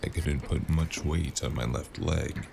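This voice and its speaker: A man's voice: rumbling low, fairly even intonation, measured pace, creaky, warm tone, slight background noise, full character voice. A man playing a battered, sardonic, world-weary investigator in a cyberpunk noir audio drama. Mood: weary narrating.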